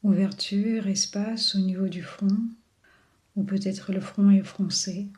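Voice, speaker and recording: Female meditation guide, mid-range voice, very slow and soothing, leaves long pauses. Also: mid-range voice, fairly even intonation, dark tone. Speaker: yoga and relaxation guide. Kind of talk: guided body scan meditation.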